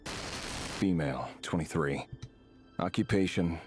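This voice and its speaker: A man's voice: low-pitched, slow, music in the background. A man voicing a cold, methodical intelligence operative in a video game, clipped and clinical. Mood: cold, detached. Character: cold, methodical male intelligence operative who purges targets.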